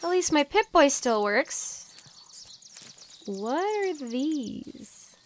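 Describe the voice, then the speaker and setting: A woman's voice: sits high, sing-song, very slow, slight background noise, full character voice. A young woman acting a plucky, sardonic exile in her twenties in an audio drama. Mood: weary muttering.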